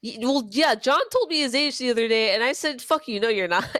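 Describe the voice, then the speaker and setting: A woman's voice: a little high, animated, brisk, a bit bright, dry recording. A woman in her twenties, a podcast guest, talking bluntly, laughing easily and swearing freely. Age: 20s. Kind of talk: casual chat.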